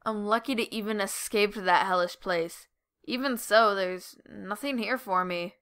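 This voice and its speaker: A woman's voice: medium pitch, measured pace, fairly bright tone, clean tone. A woman voicing a weary, guarded young female escapee, subdued and serious, for a dramatic audition. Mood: bitter, resigned.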